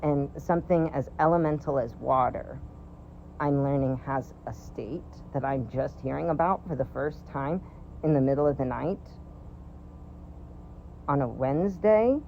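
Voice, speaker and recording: A man in his thirties at an ordinary, middling pitch, musing aloud in a loose, casual monologue. He rambles and restarts often, leaning on fillers as he works his way through an idea. A faint hum of noise sits behind him. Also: a little slow, creaky, muffled recording. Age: 30s. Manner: wondering and enthusiastic. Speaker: vlogger.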